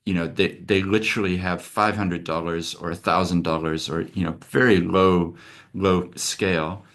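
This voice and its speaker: A man's voice: sits low, fairly even intonation, moderate pace, fairly bright tone. A middle-aged man from America, a lawyer hosting a webinar over a video call, talking in an earnest, open, conversational way.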